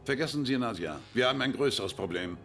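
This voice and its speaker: An actor playing a stern, commanding British general giving grave briefings in a war game cutscene. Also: male voice, mid-range voice, lively intonation, quick pace, vocal fry, fairly bright tone. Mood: insistent. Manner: authoritative, grave. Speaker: video game character in cutscenes.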